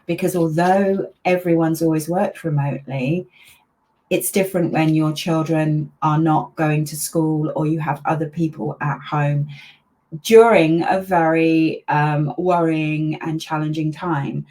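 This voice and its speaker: Older woman with a low voice, grew up in Malaysia, relaxed chatty interview guest who laughs easily. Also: measured pace. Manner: chatty, warm laughs, many fillers. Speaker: parenting coach, interview guest. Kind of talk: casual interview over a video call.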